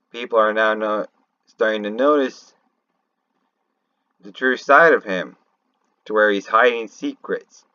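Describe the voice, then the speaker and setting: Slow, halting man in a roomy lo-fi recording. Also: fairly low pitch, pushed and bright. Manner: halting, thinking aloud, often correcting himself mid-sentence. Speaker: fan commentator on a video game. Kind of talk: unscripted rambling commentary and theorizing. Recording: roomy, dull lo-fi home recording.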